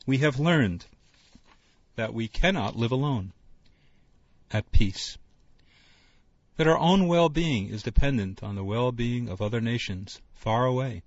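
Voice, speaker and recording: A man's voice: medium pitch, unhurried, pauses often, no room sound. A man hosting a discussion panel, speaking in an earnest, reflective way. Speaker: host. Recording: dull lo-fi broadcast recording.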